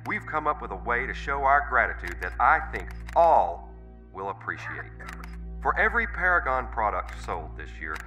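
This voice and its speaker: Corporate CEO: earnest, grandiose speechmaker, animated bright voice heard from a distance. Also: male voice, mid-range voice, moderate pace, faint music behind. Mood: earnest, rousing. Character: earnest, grandiose male corporate chief executive. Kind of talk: public speech in radio drama.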